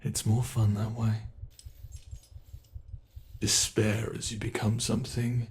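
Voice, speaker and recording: A man voicing a slow, taunting sadistic monster. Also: fairly low pitch, completely flat delivery, darkish tone, slight background noise. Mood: gloating. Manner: slow, taunting, relishing cruelty. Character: sadistic male monster that reshapes its captive. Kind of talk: ASMR horror roleplay.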